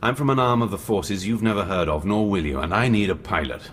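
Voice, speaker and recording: An actor playing an urbane, wry British army major with an English accent in a radio drama. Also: male voice, fairly low pitch, fast, fairly bright tone, slight background noise, natural voice. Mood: wry, insistent. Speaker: army officer.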